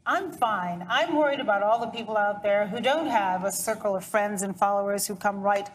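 A woman's voice: medium pitch, normal speed, a little hiss or hum. A woman giving firm, composed answers in an interview.

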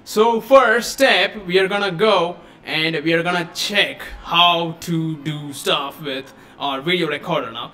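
Excitable man hosting a live tutorial. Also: on the high side, measured pace, few pauses. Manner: excitable, exclamatory, chatty. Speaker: tech tutorial host. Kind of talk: casual live-stream tutorial. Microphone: USB studio microphone.

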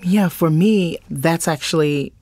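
A woman's voice: sits low, slow pace, a little mellow, no room sound. A woman guest giving reflective answers in an interview about her work.

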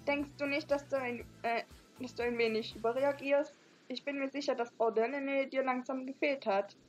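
A woman's voice: high voice, fairly even intonation, moderate pace, soft background music. A young woman hosting a let's play, reading visual novel dialogue aloud with playful, giggly asides. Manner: giggly, reads lines playfully.

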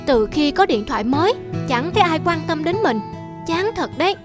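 A woman's voice: high voice, animated, normal speed. A woman voicing an anxious young female student, an older sister, in an emotional cartoon drama. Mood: irritated. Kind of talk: animated drama voice acting. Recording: music behind the voice.

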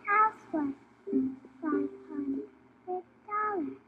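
A talking checkout machine in an audio drama. The voice is tiny and extremely high, slowly announcing in clipped, emotionless bursts. It sounds tinny and narrow. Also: female voice. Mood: detached, announcing. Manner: clipped, mechanical, detached. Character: impassive talking cash register calling out items and prices. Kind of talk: stylized audio theater announcements. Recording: tinny band-limited sound, faint music.

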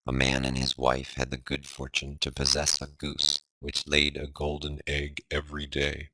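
A man's voice: completely flat delivery, moderate pace, sharp tone, no echo, poor audio. A man reading a storybook fable, his voice run through a robotic voice changer. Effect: voice changer, pitched-down robot filter. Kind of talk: scripted storybook narration.

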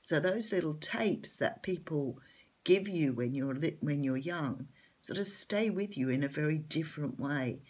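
A middle-aged Australian woman with a hoarse, croaky voice, chatting casually as she reads tarot cards at home. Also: low-pitched, expressive, a little slow, darkish tone. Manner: chatty, open, laughs lightly. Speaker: tarot reader. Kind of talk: casual tarot reading to camera. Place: home room at a table.

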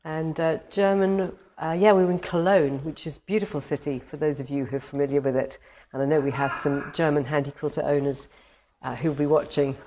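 Woman, hoarse from a cold, low voice, chatty and rambling, big indoor showroom, camera a few metres off. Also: a little mellow, slight background noise. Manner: chatty and cheerful, rambling with many ums. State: head cold, slightly hoarse. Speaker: quilting shop owner hosting a live demo. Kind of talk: casual live-stream chat. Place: large quilting showroom with longarm machines, indoors. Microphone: camera on a tripod a few metres away.

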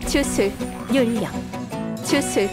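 High, clipped battle spellcaster. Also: female voice, takes it slowly. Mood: focused, forceful. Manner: clipped, incantatory. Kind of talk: video game combat barks. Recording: very loud battle music.

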